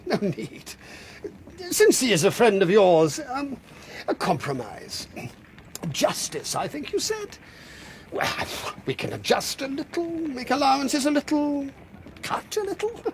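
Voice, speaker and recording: Crafty period-drama moneylender: high, gravelly, mocking, theatrical, able to turn from menace to oiliness. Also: male voice, big pitch swings, unhurried, slight background noise, full character voice, low-quality recording. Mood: oily, placating. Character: cunning, menacing male moneylender and coachmaker, oily when cornered. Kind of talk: period radio drama acting.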